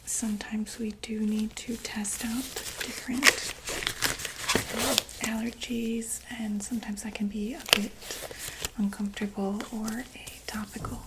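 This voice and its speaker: A woman playing a gentle vampire doctor in a whispered ASMR medical roleplay, reassuring and a little hesitant. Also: fairly high pitch, flat delivery, a little slow, very noisy. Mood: calm, reassuring. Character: gentle female vampire doctor examining a patient.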